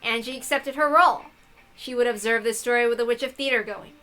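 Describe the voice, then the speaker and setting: A woman's voice: on the high side, brisk, fairly bright tone, faint music behind. A woman hosting a game playthrough, reading text aloud and adding playful, casual asides. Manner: playful, giggly, swearing asides.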